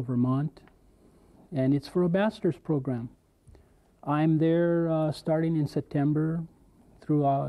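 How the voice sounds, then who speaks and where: A young man from the American Southwest with an American accent, a guest teacher trainee answering questions in a school studio, relaxed with hesitant fillers. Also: fairly high pitch, poor audio. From southwestern United States.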